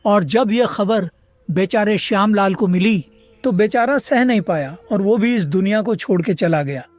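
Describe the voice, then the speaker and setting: A man's voice: sits high, moderate pace, faint music behind, close to an everyday voice. An actor playing a kindly, sorrowful elderly man in a dramatised moral story, speaking plainly and with sympathy. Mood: sorrowful, sympathetic.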